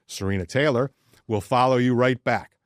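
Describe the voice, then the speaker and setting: A man's voice: medium pitch, moderate pace, dry recording, studio quality. A man in his sixties hosting a podcast, talking casually in a blunt, conversational way. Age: 60s. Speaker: podcast host. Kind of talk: casual podcast chat and personal testimonial.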